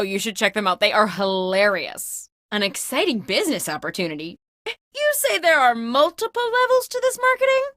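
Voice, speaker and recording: A woman's voice: fairly high pitch, pushed and bright, no echo, clean studio sound. A woman playing a scatterbrained, self-absorbed office boss in a comedic video game, breezy, theatrical and bossy. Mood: bossy, oblivious. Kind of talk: scripted comedic video game host lines.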